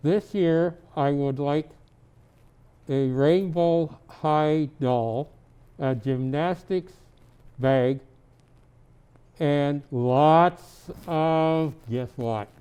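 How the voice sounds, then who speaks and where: A man playing a jolly, kindly old Santa Claus, reading from a storybook slowly and deliberately with chatty asides. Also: on the high side, mellow, clean tone. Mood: kindly, playful. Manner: slow, deliberate, kindly, grandfatherly.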